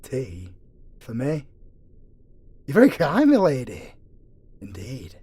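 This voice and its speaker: A man's voice: medium pitch, wildly swooping pitch, slow pace, warm tone, slightly rough, dry recording, exaggerated voice. A man playing a dutiful, prejudiced angel dungeon guard with a formal, sanctimonious manner, in a fantasy audio roleplay. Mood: polite, pleased.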